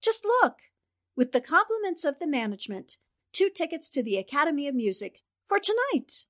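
An actress playing a brisk, self-assured newspaper reporter in 1940s radio drama style. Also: female voice, high-pitched, very animated, a bit bright. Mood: delighted. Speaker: actor.

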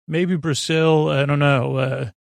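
Creaky-voiced man rambling through a bedtime podcast. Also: on the high side, steady tone, slow pace, no echo. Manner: meandering, full of filler words and tangents; goofy, absurd, self-deprecating. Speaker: podcast host. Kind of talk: rambling bedtime monologue to help listeners sleep.

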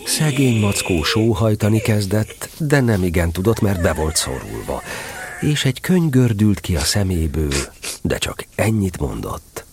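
Man, storybook narrator, low pitch, animated intonation, breathy husky tone, scripted. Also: background noise.

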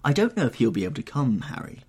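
A man voicing a tired, subdued young gentleman in an audiobook. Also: mid-range voice, big pitch swings, fast, slightly rough, voice pushed up. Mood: weary. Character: young weary handsome gentleman.

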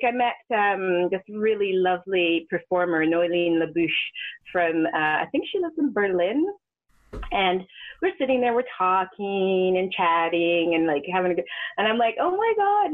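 Husky, lively woman on a thin line. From Western Canada. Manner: animated, laughs often, jumps into high pitch for quoted voices. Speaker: guest performer. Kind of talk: casual interview storytelling. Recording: phone-like narrow sound.

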